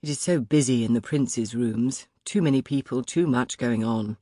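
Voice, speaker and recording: A reader voicing a shy, gentle young man at court who speaks softly and hesitantly, lower than the narration. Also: normal speed, dry recording, clean studio sound, natural voice. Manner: soft and hesitant.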